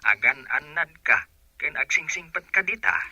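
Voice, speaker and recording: A man's voice: on the high side, expressive, moderate pace, pushed and bright, breathy edge, no echo, dull audio, full character voice. A man playing a fond, admonishing father who gives fatherly advice in a radio drama. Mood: fond, advising. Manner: fatherly, advising.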